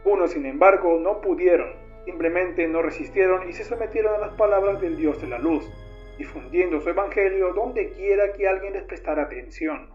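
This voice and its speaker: Guy with a high voice reading a horror documentary script, kinda muffled, music under it. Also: normal speed. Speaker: narrator. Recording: dull lo-fi sound under music.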